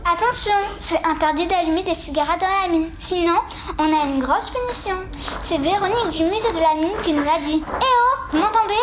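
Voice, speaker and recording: A woman's voice: extremely high pitch, brisk, noisy background. A female performer playing a curious, insistent young girl in a radio drama. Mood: curious, calling out. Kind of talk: radio fiction acting.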